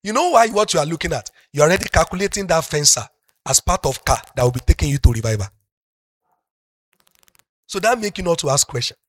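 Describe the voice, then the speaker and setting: A middle-aged Nigerian pastor with a Yoruba-speaking accent preaching a sermon, moving from soft talk up to loud, passionate shouting. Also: male voice, a little high, lively intonation, brisk, long pauses, dry recording, clean studio sound.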